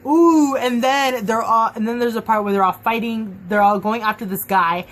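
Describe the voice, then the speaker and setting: A woman's voice: a little high, normal speed. Gushing young woman reviewing a book. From Mexican and Cuban heritage. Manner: gushing, chatty, lots of fillers and restarts. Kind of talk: casual book review vlog.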